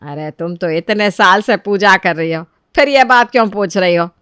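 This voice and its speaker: A woman's voice: medium pitch, expressive, moderate pace, a bit bright, smooth, no room sound, studio quality, close to an everyday voice. A woman voicing a modest, matronly middle-aged mother-in-law in a storybook tale. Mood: concerned.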